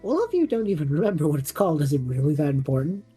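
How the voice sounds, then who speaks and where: A woman's voice: low voice, big pitch swings, racing, warm tone, breathy edge, soft background music, exaggerated voice. A woman voicing a cocky, careless male student in an audio drama, casual and flippant. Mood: sheepish.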